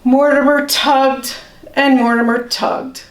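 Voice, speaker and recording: Female storybook reader: higher-pitched, measured, expressive, recorded in a roomy space away from the mic. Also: slight background noise. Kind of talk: children's storybook read-aloud. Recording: roomy echo, distant microphone.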